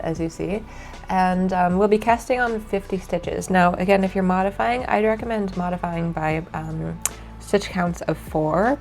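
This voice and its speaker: A woman's voice: fairly low pitch, creaky. Stuffy-nosed woman teaching knitting. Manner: relaxed, many ums and uhs. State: stuffy from a cold. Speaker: knitting instructor. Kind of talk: casual tutorial explanation.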